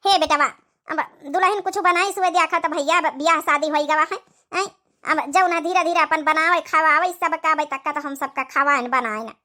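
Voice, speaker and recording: Woman voicing a high-pitched, patient old Awadhi village mother-in-law. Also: normal speed, sharp tone. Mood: wistful, homely. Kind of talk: Awadhi comedy cartoon voice acting.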